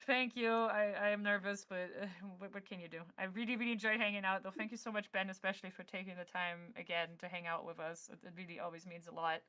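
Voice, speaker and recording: A woman's voice: medium pitch, level tone, quick pace, few pauses, bright, forceful tone, clean tone. A woman chatting casually and effusively as a guest on a livestream. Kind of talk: casual chat.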